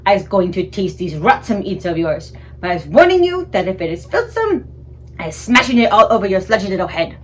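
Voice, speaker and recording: A woman's voice: sing-song, brisk, close to an everyday voice. Woman voicing a blustering, greedy man-eating giant. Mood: suspicious, menacing. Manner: booming, blustering, shouting. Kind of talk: children's storybook read-aloud.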